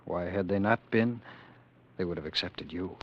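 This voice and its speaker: A haunted former world ruler, deep. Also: male voice, moderate pace. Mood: tender. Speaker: narrator and lead actor. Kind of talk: 1940s radio acting. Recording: dull old radio broadcast.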